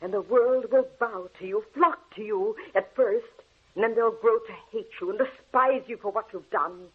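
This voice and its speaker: A woman's voice: mid-range voice, moderate pace, slightly rough, lightly acted. A woman playing an anxious, pleading scientist's wife in earnest, melodramatic 1940s radio style. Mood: pleading alarm. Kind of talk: 1940s radio drama acting. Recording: dull, lo-fi 1940s radio broadcast.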